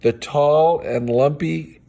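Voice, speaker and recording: A man's voice: fairly high pitch, takes it slowly, gravelly, mellow. A man reading a picture book aloud as a father to kids, with playful, theatrical delivery and casual asides.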